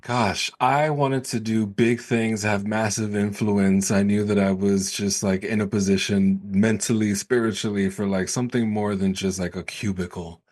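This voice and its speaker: A man's voice: on the low side, fairly even intonation, moderate pace, hardly any pauses, a little mellow. A man from New York City, born in Puerto Rico, speaking casually as a guest in an interview. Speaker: podcast guest, sales coach.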